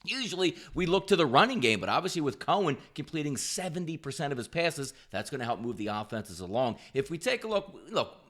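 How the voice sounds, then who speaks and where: Man, fairly high-pitched voice, sports picks commentary, emphatic, upbeat, pitchman-style host. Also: very animated, a little fast, hardly any pauses, fairly bright tone. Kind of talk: sports betting commentary to camera.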